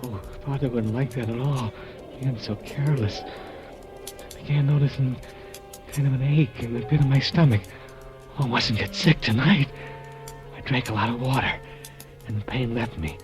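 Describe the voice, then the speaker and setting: Man acting as a nervous young worker, tense halting narration, loud music bed, 1940s radio drama. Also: medium pitch, close to an everyday voice. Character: jittery young male factory worker, secretive.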